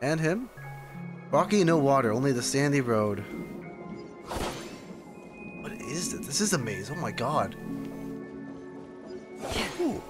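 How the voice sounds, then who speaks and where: A man's voice: medium pitch, big pitch swings, slow pace, pauses often. A young man giving casual gaming commentary, reacting aloud as he plays. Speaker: streamer reacting to a game. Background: game music.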